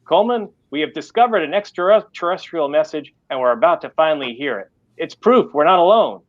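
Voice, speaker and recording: A man's voice: on the high side, lively intonation, brisk, dull audio, lightly acted. A man voicing an irritable, stubborn, very old tech tycoon who grumbles petulantly. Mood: defensive.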